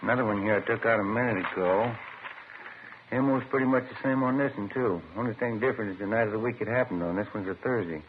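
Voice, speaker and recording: On a thin old radio recording with faint noise, a man plays a plain-spoken detective's partner. His voice is low and his delivery laconic and conversational, in the manner of a 1950s police drama. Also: fast talker, phone-quality sound, lightly acted. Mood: matter-of-fact. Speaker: supporting actor.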